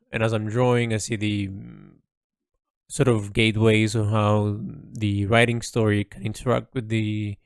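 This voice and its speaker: A man's voice: lowish voice, a little mellow, dry recording, studio quality. A man keeping a reflective, unscripted audio log as an artist and writer, speaking hesitantly and often searching for the right word. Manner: hesitant, searching for words, trailing into "sort of". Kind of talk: reflective solo monologue, casual and unscripted.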